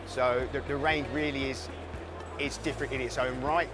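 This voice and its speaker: A man's voice: mid-range voice, flat delivery, normal speed. A man being interviewed as a product specialist, explaining features in an informal, conversational way. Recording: loud background music under the voice.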